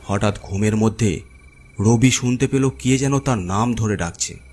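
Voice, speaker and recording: Low-voiced man narrating a horror story. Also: measured pace, a little mellow, husky.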